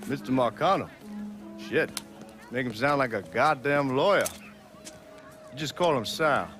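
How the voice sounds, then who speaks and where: An actor playing a brash young gangster, a mob boss's son, in a video game, jocular and profane, with an American Southern accent. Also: male voice, mid-range voice, lively intonation, a little slow, natural voice. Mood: cocky. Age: young adult. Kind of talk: cinematic video game acting. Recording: background music under dialogue.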